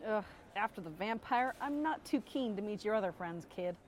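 A woman's voice: mid-range voice, animated, moderate pace, slight background noise, natural voice. A woman playing a mocking, mercenary fantasy adventurer with dry sarcasm in a comedic audio drama.

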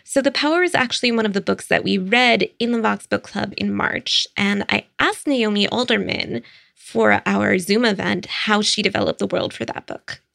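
Woman book critic with very creaky voice. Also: medium pitch, moderate pace, fairly bright tone, dry recording, studio quality. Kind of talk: presenting book recommendations to camera.

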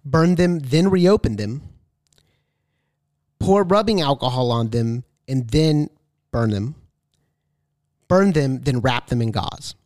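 A man with a medium-pitched voice and a slow, measured pace. The sound is dry and close. He acts as quizmaster on a casual podcast, reading questions and options. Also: lively intonation, a little mellow, no echo. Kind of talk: casual game-show quiz hosting.

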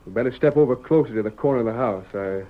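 An actor playing an earnest, plain-spoken rancher with an American accent in an old-time radio western. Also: male voice, medium pitch, fast, a little hiss or hum, natural voice. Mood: cornered, resentful. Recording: dull vintage radio recording.

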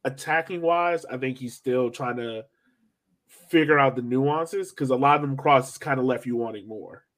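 A man's voice: mid-range voice, moderate pace, a bit bright. An American man co-hosting a sports podcast, talking casually through analysis of a soccer match.

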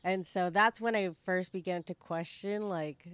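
A woman's voice: fairly low pitch, steady tone, measured pace, a bit bright, smooth, no echo. A young woman in her twenties, co-hosting a podcast in casual chat, speaking with many "like" fillers. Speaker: podcast co-host.

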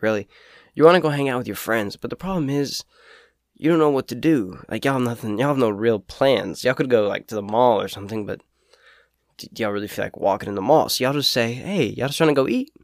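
A teenage guy, raspy and a little stuffed up from a cold, chatting loosely through his own money tips with plenty of fillers. His pitch sits in an ordinary middle range, and the sound is close and dry, with no room echo. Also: lively intonation, fast. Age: teens. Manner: rambling, lots of fillers, upbeat. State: sick with a cold. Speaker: podcast host. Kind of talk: casual solo talk about saving money.

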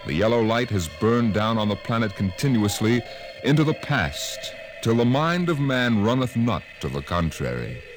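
A man's voice: medium pitch, sing-song, normal speed. A man announcing and narrating an old radio science fiction drama in a dramatic, declamatory style. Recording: old radio broadcast with music.

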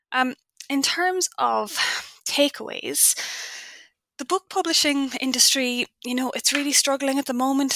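A woman's voice: medium pitch, sharp tone, dry recording. A woman with an Irish accent giving candid, reflective, conversational answers as a science author being interviewed. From Ireland.

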